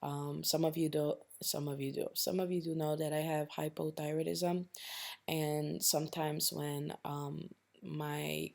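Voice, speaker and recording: A woman with a noticeably low-pitched voice, raspy and a little hoarse, talks viewers through a makeup look one step at a time. She keeps it casual and chatty, speaking straight to her audience and checking in with them as she goes. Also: little pitch movement, measured pace, darkish tone. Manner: chatty, addresses viewers directly, tags lines with "okay?". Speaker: makeup tutorial host. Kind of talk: casual step-by-step tutorial narration.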